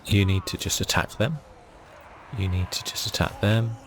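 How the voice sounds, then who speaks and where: A man giving soft-spoken ASMR commentary as he plays a game, thinking aloud in a relaxed way. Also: deep voice, normal speed, a little hiss or hum. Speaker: gaming video host.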